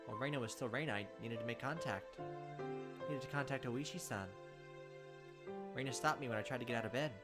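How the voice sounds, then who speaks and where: A man's voice: medium pitch, brisk, pauses often. A man hosting a let's play, reading the game text aloud in a dramatic way and breaking in with joking asides. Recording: game music playing loudly behind.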